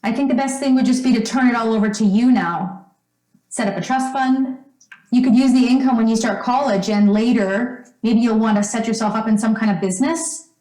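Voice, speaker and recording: In a roomy, echoing space, a woman plays a doting mother from an old-time radio play. Her voice sits fairly high, and she fusses fondly and chats trustingly, like a well-off lady devoted to her boy. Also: fairly quick, dark tone, lightly acted. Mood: earnest, confiding. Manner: fond, fussing, chatty. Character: doting, trusting, wealthy mother of a teenage son. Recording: roomy, echoing stage-like sound.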